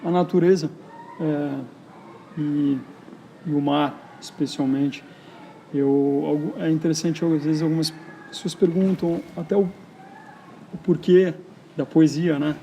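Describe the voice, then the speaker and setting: A man from São Paulo with a São Paulo accent, speaking as a poet interviewed in a park in a reflective, halting way full of hesitations. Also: fairly high pitch, fairly even intonation, dark tone, slight background noise, poor audio. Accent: São Paulo Brazilian Portuguese. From São Paulo, Brazil. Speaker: poet and writer, interview guest. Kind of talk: casual interview conversation. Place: outdoors in a park.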